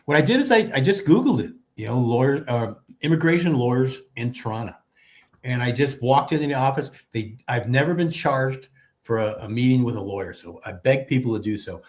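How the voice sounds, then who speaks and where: A man in his seventies from Texas, a guest on a casual video chat, talking in a relaxed way and repeating himself for emphasis. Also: mid-range voice, a little fast. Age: 70s.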